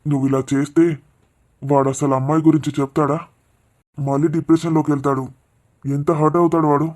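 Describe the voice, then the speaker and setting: A man's voice: fairly high pitch, little pitch movement, fairly quick, a little mellow, lightly acted. A man playing an upright father who firmly and indignantly rebukes his scheming wife. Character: upright father, protective of his friend's daughter. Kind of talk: melodramatic audio drama.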